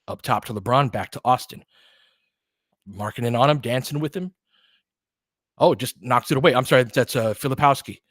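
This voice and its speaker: A man in his forties doing casual play-by-play of a basketball game, chatty and excitable, thinking aloud between plays. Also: medium pitch, no echo, clean studio sound. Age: 40s. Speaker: play-by-play host. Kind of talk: casual fan play-by-play commentary.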